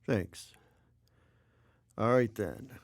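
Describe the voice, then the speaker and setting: An actor playing a guarded, evasive middle-aged policeman father in a 1920s-style radio drama, speaking haltingly. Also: fairly low pitch, lively intonation, creaky, slight background noise, full character voice.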